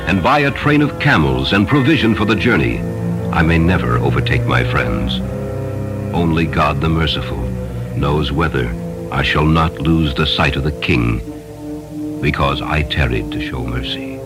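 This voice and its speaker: A male reader plays an earnest, devout Magian traveler with a very low voice. He speaks in formal, archaic storybook phrases, merciful and anxious about his quest, while music plays behind him. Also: sing-song, moderate pace. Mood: anguished resolve.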